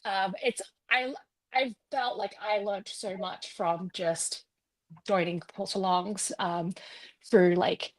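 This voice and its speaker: A woman's voice: mid-range voice, fairly even intonation, slow, low-quality recording. Friendly rambling woman explaining quilting. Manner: friendly, rambling, many fillers. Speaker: guest quilting instructor. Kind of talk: casual demonstration.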